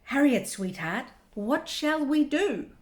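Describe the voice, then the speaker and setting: A woman voicing an exasperated storybook mother who holds back from yelling at her pesky child with slow, drawn-out endearments. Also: high-pitched, very animated, pitched above the performer's own voice, exaggerated voice. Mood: strained patience.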